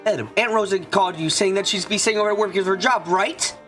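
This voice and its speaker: A woman's voice: mid-range voice, fast, fairly bright tone, soft background music, natural voice. A woman playing a skeptical, bitter older girl with a pressing, reproachful manner in a visual novel fan dub.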